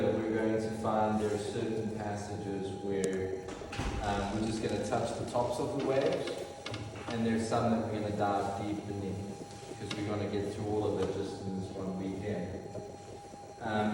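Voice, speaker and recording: A South African man in his fifties preaches with easy, self-deprecating humor and reads Scripture aloud. His voice comes from well back in a big hall, with a long, booming echo and steady background noise. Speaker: pastor. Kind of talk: sermon with Bible reading. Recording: echoey hall from far off, noisy.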